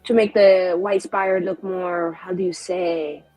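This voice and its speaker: A woman's voice: fairly low pitch, steady tone, takes it slowly, a little mellow, dry recording, faint music behind, poor audio. Woman playing a spiteful, sassy fantasy mage. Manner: sassy, slangy, brash. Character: spiteful, cocky, foul-mouthed female mage. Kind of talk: improvised tabletop roleplay.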